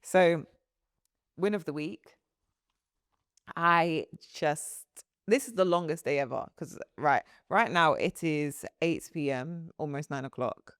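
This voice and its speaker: A woman's voice: low-pitched, takes it slowly, clean tone, no room sound, clean studio sound. A woman co-hosting a podcast in casual chat, rambling and restarting her sentences, often giggling. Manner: rambling, loses her train of thought, giggly. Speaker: podcast co-host.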